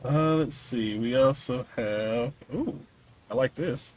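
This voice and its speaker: A man's voice: medium pitch, slow. A man hosting a casual podcast interview in an easygoing way with short reactions. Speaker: podcast host.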